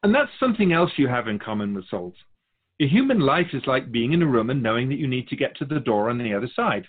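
A man playing a patient, lofty spirit guide who teaches in measured, formal phrases in a scripted audio drama. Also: medium pitch, sing-song, phone-quality sound. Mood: patient, explanatory. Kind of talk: scripted dialogue in a fiction podcast.

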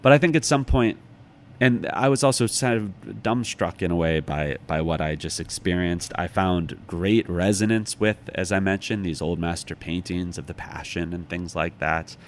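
Very low-voiced American man, thoughtful. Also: moderate pace. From United States, Chicago. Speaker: interview guest, author. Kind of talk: reflective casual conversation. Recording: clearly noisy background.

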